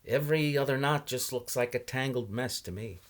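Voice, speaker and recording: A man's voice: mid-range voice, moderate pace, pitched above the performer's own voice, close to an everyday voice. A man voicing a polite, awkward, earnest male character who speaks in a hesitant, courteous way. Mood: admitting.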